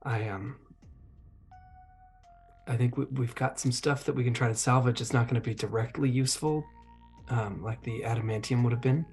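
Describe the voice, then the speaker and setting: Gravelly, husky man roleplaying casually. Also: mid-range voice, steady tone, moderate pace, long pauses, a little mellow, soft background music. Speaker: player in a tabletop roleplaying game.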